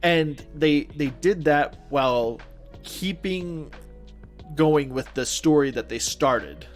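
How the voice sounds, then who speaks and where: A man's voice: fairly high pitch, animated, takes it slowly. A man co-hosting a podcast, talking casually in an informal, slangy and agreeable way. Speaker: podcast co-host. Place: recorded remotely, separate from co-host. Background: background music.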